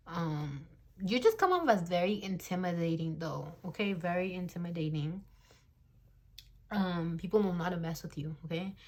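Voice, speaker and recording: A young woman in her twenties speaks in a slightly low voice as she gives a casual tarot reading into her phone. She is blunt and talkative, swears freely and often breaks into laughter. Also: a little mellow. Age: 20s. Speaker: tarot reader.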